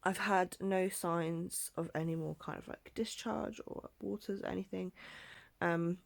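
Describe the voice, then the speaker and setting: A young woman speaks softly and often in a near whisper, with lots of ums and little pauses. Her voice is fairly low and slightly gravelly. She sounds stuffed up, as if she has just woken. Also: fairly even intonation. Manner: soft, often half-whispered, with frequent ums and small laughs. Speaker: pregnancy vlogger. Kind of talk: casual video diary.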